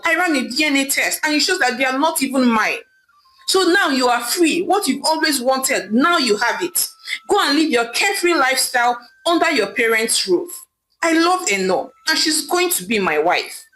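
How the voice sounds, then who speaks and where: A woman voicing a wronged husband who shouts angrily at his wife. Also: high-pitched, voice pushed up, low-quality recording. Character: wronged husband, fed up and fuming.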